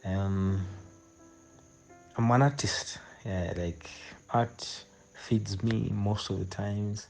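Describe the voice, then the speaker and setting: A man's voice: on the low side, fairly even intonation, extremely slow, vocal fry, a little mellow, soft background music, low-quality recording. A man in his twenties with a Zambian accent, answering interview questions as a guest poet in a hesitant way, often repeating phrases as he thinks. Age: 20s.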